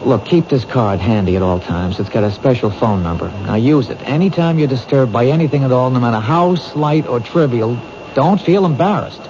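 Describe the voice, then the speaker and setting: A man's voice: medium pitch, lively intonation, moderate pace, natural voice. A man playing an earnest police detective. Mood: warm, earnest. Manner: friendly, stammers when nervous. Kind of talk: 1970s radio drama acting. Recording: dull old radio broadcast with hiss.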